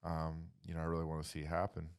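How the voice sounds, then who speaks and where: A man leading a casual faith discussion, reflective and halting, often dropping in "you know". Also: very deep voice, completely flat delivery, smooth.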